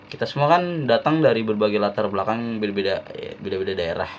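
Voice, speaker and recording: A young man from Jakarta, a university student giving a relaxed, slangy class-assignment talk with frequent fillers. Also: on the low side, fast, muffled recording, a little hiss or hum. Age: young adult.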